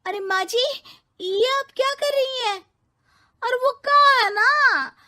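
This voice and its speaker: A woman's voice: very high voice, slow, sharp tone, pitched above the performer's own voice, exaggerated voice. A woman voicing a young, modern daughter-in-law in a cartoon story, earnest and exasperated as she explains things. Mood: alarmed.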